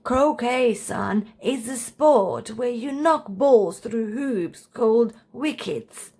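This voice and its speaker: A woman's voice: on the high side, lively intonation, slow pace, dark tone, slightly rough, exaggerated voice. A woman voicing a kindly, elderly grandfather giraffe who explains patiently in a children's storybook. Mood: patient explaining.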